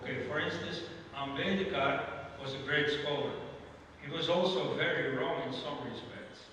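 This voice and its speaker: A man whose first language is Dutch, speaking as a guest scholar answering audience questions, measured and peppered with "you know" and brushing off weak ideas. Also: on the high side, a bit bright, long reverb tail, a little hiss or hum, poor audio. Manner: measured, frequent "you know", dismissive asides. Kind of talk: lecture Q&A.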